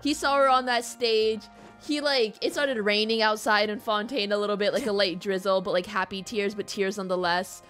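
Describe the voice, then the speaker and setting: A woman's voice: medium pitch, level tone, normal speed, fairly bright tone. A woman streamer giving casual, gushing, cooing reactions to a game. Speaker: live streamer. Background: game music.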